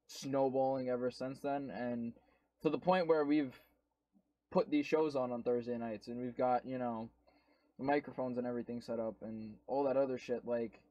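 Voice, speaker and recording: A man's voice: medium pitch, fairly even intonation, moderate pace, warm tone, no room sound. A man co-hosting a casual podcast, rambling with lots of fillers and some swearing. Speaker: co-host. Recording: dull lo-fi sound.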